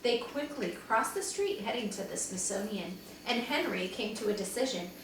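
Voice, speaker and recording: A woman's voice: medium pitch, normal speed. A woman reading a children's story aloud like a teacher to young pupils, expressively acting out the dialogue. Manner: animated, dramatizes dialogue for young listeners. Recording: very echoey room, distant mic, faint noise.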